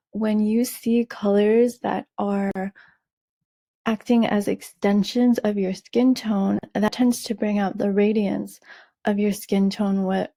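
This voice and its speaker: Raspy woman explaining color styling. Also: mid-range voice, warm tone, no room sound. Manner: measured, friendly explaining with fillers and restarts. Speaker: color analysis host. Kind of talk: explaining over a slideshow.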